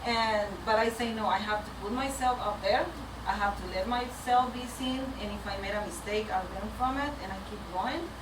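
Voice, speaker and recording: A woman from Venezuela with a Venezuelan Spanish accent gives an informal, candid personal talk to a meetup audience, speaking a bit haltingly and nervously. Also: on the high side. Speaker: community track lead speaker. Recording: echoey noisy room, distant microphone.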